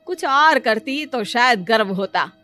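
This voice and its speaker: A woman's voice: fairly high pitch, animated, measured pace, sharp tone. A woman playing an emphatic, exclaiming middle-aged mother in a cartoon story. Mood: scornful. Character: scornful middle-aged mother, quick to belittle or panic. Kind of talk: acted cartoon story dialogue. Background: music.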